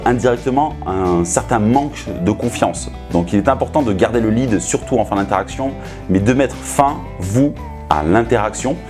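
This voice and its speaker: A man's voice: mid-range voice, a little mellow. A man coaching in a didactic, instructional way, speaking directly to the viewer. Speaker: seduction coach hosting a video. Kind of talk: instructional talk to camera.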